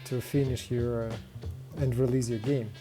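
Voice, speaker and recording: Moscow-born host, slow and mellow, faint music under a computer call. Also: male voice, mid-range voice, level tone. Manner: slow, hesitant, polite. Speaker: stream host. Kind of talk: casual interview.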